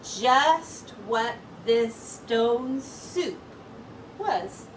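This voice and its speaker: A woman teacher reading a children's story aloud in an expressive, deliberate storytelling manner. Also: a little high. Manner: expressive, deliberate storytelling with brief asides to listeners. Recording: distant mic with faint background noise.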